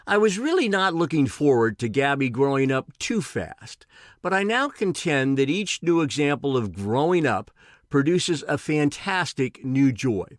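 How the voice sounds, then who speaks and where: A man in his sixties reads a personal essay he wrote. His voice is somewhat high for a man, recorded dry with no audible room. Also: no echo. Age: 60s. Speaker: essayist reading own work. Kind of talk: reading a personal essay aloud.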